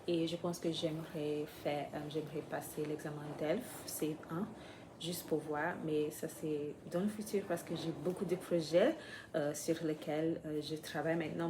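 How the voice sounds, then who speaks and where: Low-voiced woman, slow and hesitant. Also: background noise. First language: not French. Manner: hesitant, many pauses and fillers, searching for words. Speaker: French learner vlogger. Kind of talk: unscripted vlog about learning French.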